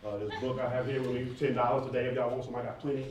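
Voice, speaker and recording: A man's voice: mid-range voice, little pitch movement, rapid-fire, a little mellow. Echoey, distant man in his thirties. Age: 30s. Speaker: rapper and stage performer answering audience questions. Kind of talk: informal question-and-answer talk to students. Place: roomy indoor campus event space. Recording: echoey room, distant mic, faint noise.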